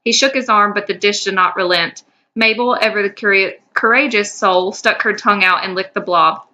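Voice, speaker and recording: Female podcast co-host, bright voice, middle pitch, casual storyteller reading fan fiction. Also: normal speed.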